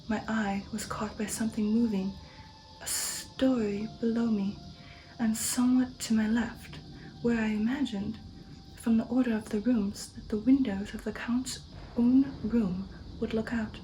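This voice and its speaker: Hushed, high-voiced woman reading an ASMR story. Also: slight background noise, low-quality recording. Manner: hushed, often slipping into whisper, deliberate. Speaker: ASMR storyteller reading a classic horror novel. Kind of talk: soft ASMR audiobook narration. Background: music.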